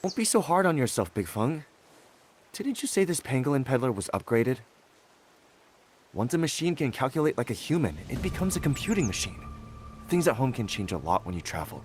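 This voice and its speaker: Lively, teasing male friend played by an actor. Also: medium pitch, brisk, slight background noise, lightly acted. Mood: reassuring. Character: teasing yet supportive, easygoing friend. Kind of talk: video game character acting.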